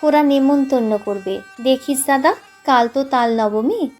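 A woman's voice: high-pitched, unhurried, a little mellow. A woman voicing a poor, hopeful, easily hurt little village boy in an audiobook story, with music underneath. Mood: hopeful, curious. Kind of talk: audiobook character voice.

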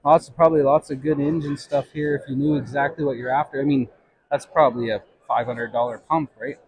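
A middle-aged man with a husky voice chatting casually as he shops for a vlog. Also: mid-range voice, fairly even intonation, a little fast, a little mellow, poor audio. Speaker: vlogger shopping at a swap meet. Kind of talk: casual chat. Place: large busy indoor swap meet hall.

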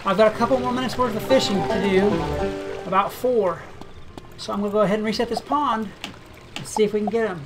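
A gamer talking through play in a relaxed, musing way, thinking aloud at a middling pitch. Clear game music runs steadily under the voice. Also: brisk. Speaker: game video creator. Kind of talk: casual gameplay commentary.

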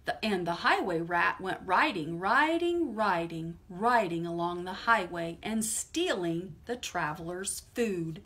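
A middle-aged American woman talking and reading aloud to small children at storytime, in simple, expressive language. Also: fairly low pitch, a little slow, hardly any pauses, reverberant, distant mic. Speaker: preschool storytime librarian. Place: small indoor room. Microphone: camera a few feet away.

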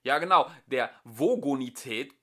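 A man voicing a nervous young man who flatters eagerly and hesitates. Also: mid-range voice, unhurried.

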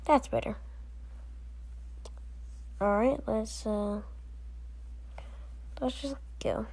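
A woman doing amateur scripted character dialogue for an animated story, with a drawn-out, unhurried delivery. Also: a little high, creaky, husky.

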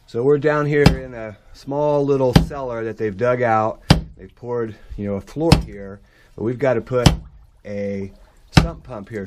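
A man's voice: medium pitch, very noisy. Practical contractor man explaining on site. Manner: plain, practical explaining while working. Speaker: drainage contractor. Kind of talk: on-site how-to walkthrough. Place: dug-out basement crawl space. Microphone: head-mounted action camera.

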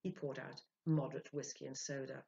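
A woman in her sixties reading a story aloud as an expressive, theatrical storyteller. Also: a bass voice, brisk, creaky. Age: 60s. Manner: theatrical, acting out dialogue. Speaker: storyteller, reader. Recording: dull lo-fi home recording.

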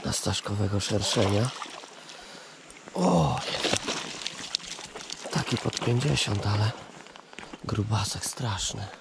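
A man with a Polish accent fishing from a riverbank, filming on a chest-mounted action camera and muttering excited, coaxing commentary. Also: lowish voice, slow pace, vocal fry, pauses often. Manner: excited muttering, coaxing the fish with repeated words. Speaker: angler filming his catch. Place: outdoors on a riverbank. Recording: loud river and outdoor noise.

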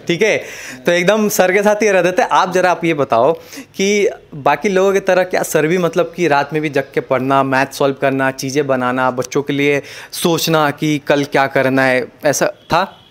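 A man with a somewhat high voice hosts a tour through a home. He asks eager, quick questions with open reverence. His voice is caught by the camera mic in a bedroom. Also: steady tone. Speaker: video host. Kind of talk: casual Hindi house-tour interview. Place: bedroom of a house, indoors.

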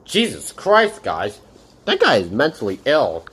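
A man's voice: medium pitch, huge pitch swings, a little slow, a bit bright, slight background noise. A man hosting a reaction video, rambling casually and addressing viewers as "guys" in nearly every sentence. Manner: rambling, says "guys" constantly. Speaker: reaction channel host.

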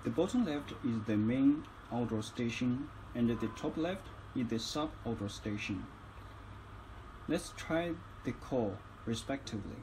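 A man's voice: medium pitch, animated, measured pace, noisy background. A man giving instructional tutorial narration as a product demonstrator, phrasing each step carefully.

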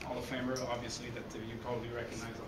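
French-Canadian coach lecturing in an echoey hall. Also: male voice, mid-range voice, racing, recorded from a distance. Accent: Québécois French. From Quebec City, Canada. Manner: energetic, chatty, often adds "okay?". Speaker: baseball coach giving a conference talk. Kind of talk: conference presentation. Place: dark conference hall, speaking in front of a screen. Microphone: room recording from a far camera. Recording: echoey hall, heard from afar, noisy.

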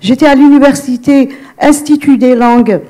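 A woman from Algeria asking a question from the audience, halting, with many restarts and fillers. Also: sits high, fairly even intonation, warm tone, rough recording. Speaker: audience member.

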